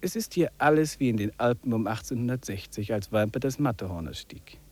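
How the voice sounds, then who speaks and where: An actor in a 1950s radio drama playing a wry, contemplative English trekker who muses and drops in English phrases. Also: male voice, mid-range voice, expressive, a little fast, a little mellow, breathy edge. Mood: musing. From England. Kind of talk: 1950s German radio drama acting, reflective monologue and dialogue.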